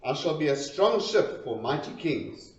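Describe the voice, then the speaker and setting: A man's voice: on the high side, big pitch swings, unhurried. A man voicing a proud, hopeful young tree in a storybook poem, speaking in an earnest, declamatory way. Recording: roomy, distant, dull lo-fi sound.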